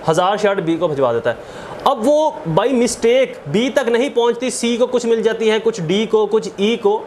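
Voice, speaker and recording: High-pitched Pakistani man giving a lecture. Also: a little mellow, slight background noise. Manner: didactic, repeats points and asks rhetorical questions. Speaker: law lecturer. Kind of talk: explanatory lecture with worked examples, mixing in English legal terms.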